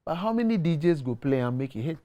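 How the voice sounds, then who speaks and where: A man's voice: on the high side, animated, fast, mellow, highs cut off. Ghanaian man, earnest studio interview guest. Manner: earnest, repeats words, drops into pidgin. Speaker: guest musician. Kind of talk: casual interview talk. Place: tv studio set.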